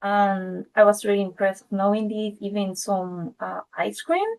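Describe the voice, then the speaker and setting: A woman with a Spanish accent presents informally on an online call. Her pace is slow and her pitch sits in the middle for a woman. The phrasing is simple and a little halting. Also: gravelly, a little mellow, low-quality recording. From Spain. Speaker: guest presenter.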